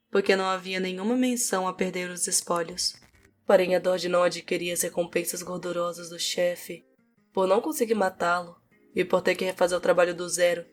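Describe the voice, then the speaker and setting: A woman with a Brazilian accent narrates a fantasy story in a low voice. Her delivery is fairly even in pitch, with music playing behind her.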